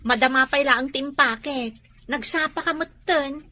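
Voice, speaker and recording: A woman's voice: high voice, a little slow, pushed and bright. An actress in a radio drama playing a cautious, reluctant young rural woman with a Filipino accent. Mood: hesitant.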